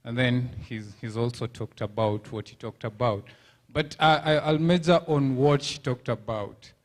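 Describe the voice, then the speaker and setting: A man's voice: mid-range voice, fairly even intonation, measured pace. A man from Kenya in the audience, asking a thoughtful question and searching for his words. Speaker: audience member.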